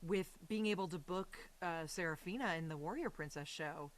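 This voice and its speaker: Woman podcast guest, bit low voice, super chatty and gushy, slangy, quotes people as she goes. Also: normal speed, low-quality recording. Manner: chatty, effusive, peppered with slang and quoted asides. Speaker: interview guest, voice actress. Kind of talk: casual podcast conversation.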